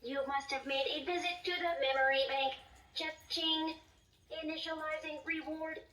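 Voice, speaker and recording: An upbeat little toy robot , voiced by a performer, cheers a child on in a high, unhurried sing-song. Its voice comes from a toy speaker some distance away in an echoey room, with music playing along. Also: steady tone, natural voice. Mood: encouraging. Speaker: learning toy voice. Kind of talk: scripted toy voice lines. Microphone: recorded from a distance.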